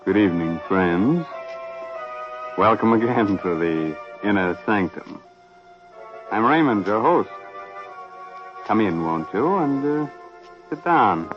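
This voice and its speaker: A man's voice: fairly low pitch, lively intonation, slow, pauses often. A man hosting and narrating a 1940s radio horror show in a dramatic, sardonic, theatrical manner. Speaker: radio mystery host and narrator. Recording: muffled 1940s radio recording. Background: music.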